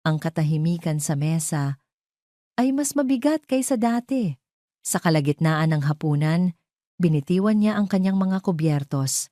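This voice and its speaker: A woman's voice: lowish voice, animated, moderate pace, mellow, no room sound, clean studio sound. A woman with a Filipino accent reading a scripted, dramatic story as an audio drama narrator.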